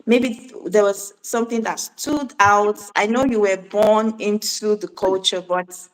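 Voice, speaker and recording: A woman hosting an interview, speaking haltingly with many fillers and restarts. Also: medium pitch, steady tone, rough recording. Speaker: host. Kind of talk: interview introduction and questions.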